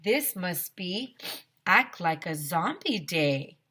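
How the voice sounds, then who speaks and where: A woman's voice: lowish voice, sing-song, takes it slowly, pushed and bright, breathy edge, heavily acted. A woman voicing a cheeky, wisecracking young schoolboy in a children's storybook, chatty and emphatic. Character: wisecracking young schoolboy narrator who hates grown-up stuff.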